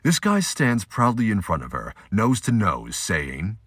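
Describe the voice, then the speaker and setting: A man narrating a scripted amateur audio drama with a husky voice. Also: on the low side, huge pitch swings, measured pace, a bit bright, slight background noise.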